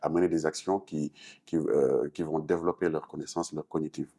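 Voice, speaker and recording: A man's voice: rumbling low, steady tone, a little fast, gravelly, warm tone, husky. A Senegalese man answering interview questions in French-speaking West African style, explaining things earnestly with a Senegalese accent. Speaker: talk show guest, artist and activist.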